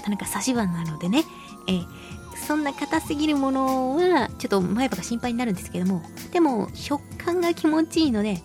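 A woman hosting a casual solo radio talk show, reading listener mail in a playful, giggly, cutesy way with a husky, breathy voice. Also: high-pitched, very animated, brisk, over music. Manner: playful, giggly, slips into cutesy exclamations and quirky old-man phrasing. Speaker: radio show host, voice actress.

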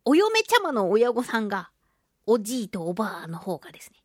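Female radio host, high and very animated voice, playful giggly chat, dry studio-close sound. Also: a little fast, dry recording. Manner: giggly, chatty, playfully self-narrating in mock-polite phrases. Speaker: radio show host and voice actress. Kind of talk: casual chatty solo radio talk.

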